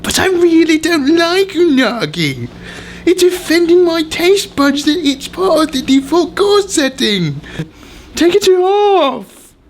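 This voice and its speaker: A man acts out a petulant restaurant customer in a comic dramatic reading. The voice jumps very high and swoops about, whining and demanding, with faint noise behind it. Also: big pitch swings, takes it slowly, full character voice. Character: whiny, demanding restaurant diner throwing a fuss.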